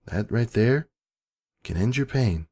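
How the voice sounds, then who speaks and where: A man voicing a calm female pony doppelganger, solemn and gently probing, in a dramatic story reading. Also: medium pitch. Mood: solemn, tempting. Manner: measured, solemn. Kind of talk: dramatic fan fiction reading. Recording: background music.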